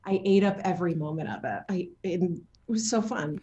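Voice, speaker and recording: A woman chatting casually as an interview guest, talking in an effusive, animated storytelling way. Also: lowish voice, moderate pace, dull audio. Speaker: interview guest, game voice and motion capture actor.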